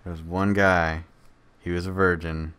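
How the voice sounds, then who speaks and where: Deep-voiced laid-back podcast man. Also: little pitch movement, unhurried, a bit bright, smooth. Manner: laid-back, laughs often, recommends movies. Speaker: podcast co-host. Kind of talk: casual chat.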